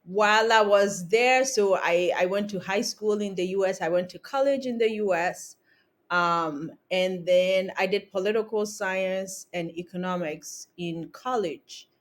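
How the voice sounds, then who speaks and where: A woman in her thirties from Ghana, a guest on a remote podcast interview, telling her career story in a measured way with frequent ums. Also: mid-range voice. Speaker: guest, cosmetics founder. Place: home in Accra, remote call. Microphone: remote call recording.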